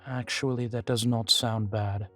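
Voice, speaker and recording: A man's voice: mid-range voice, steady tone, bright, forceful tone. Man voicing a guarded, formal male vampire, music behind. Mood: relenting, fond. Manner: formal, measured, deliberate phrasing. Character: guarded, world-weary male vampire informant, proud but fond. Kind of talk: scripted audio roleplay to the listener. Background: background music.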